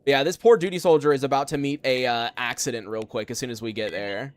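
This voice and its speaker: A man's voice: medium pitch, fairly even intonation, fast talker. A young man streaming a game, talking casually and rambling with lots of fillers as he plays and answers chat from a room at home. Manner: rambling, filler-heavy, chatty. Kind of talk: casual live gameplay chat.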